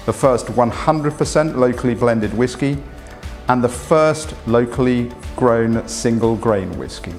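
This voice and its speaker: A man reading a formal, ceremonial scripted introduction as an awards announcer. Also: mid-range voice.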